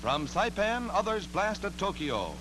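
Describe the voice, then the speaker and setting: A man's voice: high-pitched, big pitch swings, unhurried, very noisy. An American man narrating a scripted 1940s newsreel in a dramatic, declamatory manner, on an archival soundtrack. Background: loud orchestral newsreel music.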